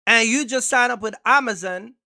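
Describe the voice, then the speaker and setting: A man's voice: very high-pitched, normal speed, sharp tone, no echo, studio quality. A man hosting a trucking livestream, talking casually and emphatically, repeating himself and asking viewers for likes. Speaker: trucking channel host.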